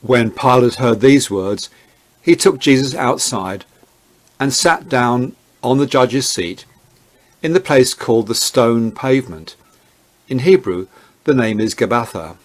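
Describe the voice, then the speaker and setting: Measured mid-pitched man narrating scripture. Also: animated. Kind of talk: scripted narration of a Bible reading.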